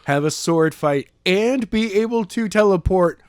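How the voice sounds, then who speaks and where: A man's voice: sits high, lively intonation, unhurried, clean tone, dry recording, clean studio sound. A man co-hosting a podcast, casually discussing a story with sarcastic remarks and some swearing. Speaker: podcast co-host. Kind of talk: casual discussion of a novel chapter.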